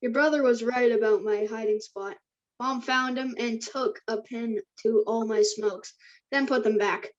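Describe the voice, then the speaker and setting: A woman's voice: on the high side, steady tone, measured pace, dull audio, close to an everyday voice. A woman playing a confident, enterprising teenage boy in a screenplay table read. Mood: curious, friendly.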